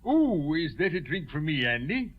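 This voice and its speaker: Washed-up stage actor, kinda high voice, super pompous and grand, old muffled radio drama sound. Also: male voice, sing-song, normal speed. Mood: self-satisfied. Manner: declamatory, pompous. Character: vain washed-up stage actor, theatrical and self-admiring. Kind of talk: 1940s radio acting. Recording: dull vintage 1940s radio recording.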